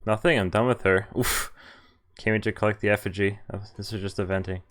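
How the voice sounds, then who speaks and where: A man's voice: fairly low pitch, steady tone, brisk, fairly bright tone. A man streaming a visual novel, reading the text aloud and dropping in casual, mildly sweary asides. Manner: reacts with laughs and mild swearing between read lines. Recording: music behind the voice.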